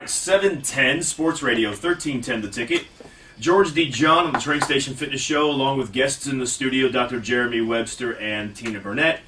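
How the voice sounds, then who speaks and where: A man's voice: mid-range voice, normal speed, sharp tone, recorded from a distance, slight background noise. Rambling man hosting a radio fitness show. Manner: rambling, self-mocking, restarts often. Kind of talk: casual radio talk. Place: radio studio.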